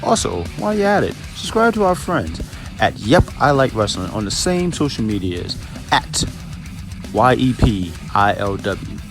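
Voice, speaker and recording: A man with a fairly low, lively voice delivers a scripted promo announcement. Guitar music plays clearly behind him. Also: a little slow.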